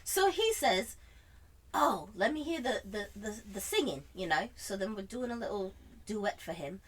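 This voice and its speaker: A woman telling an animated anecdote in casual chat, theatrically acting out dialogue with a put-on British accent. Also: medium pitch, normal speed. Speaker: storyteller.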